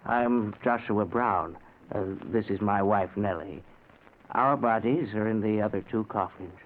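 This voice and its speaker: Actor as mild ghost husband, muffled old radio. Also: male voice, fairly low pitch, a little slow, natural voice. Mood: kindly. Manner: mild, hesitant, reassuring. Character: kindly, apologetic ghost husband reassuring the newly dead. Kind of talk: 1940s radio drama acting.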